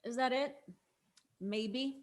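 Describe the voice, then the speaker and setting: A woman's voice: high voice, slow pace. A woman playing an anxious, questioning young granddaughter in a drama script table read.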